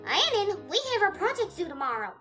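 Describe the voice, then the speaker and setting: An earnest young cartoon filly, speaks in a very high, sharp voice. She is helpful and a little bossy, with music playing behind her. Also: very animated, a little fast, full character voice. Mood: stern. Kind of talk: cartoon fan fiction audio drama.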